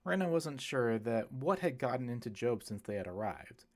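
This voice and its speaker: A man's voice: medium pitch, big pitch swings, normal speed, a little mellow. A man reading literary fiction aloud as an audiobook narrator, telling the story expressively. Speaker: audiobook reader.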